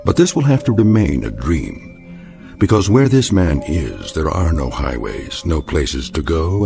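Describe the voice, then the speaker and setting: The grave narrator of an eerie radio tale, with a very deep voice that rises and falls widely. He is solemn and deliberate, with music playing clearly behind him. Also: darkish tone. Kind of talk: scripted radio drama narration.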